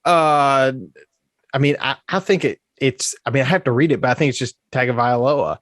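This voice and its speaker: A man's voice: mid-range voice, normal speed, fairly bright tone, no room sound, studio quality. Slightly congested man hosting a podcast. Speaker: podcast host. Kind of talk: casual sports chat.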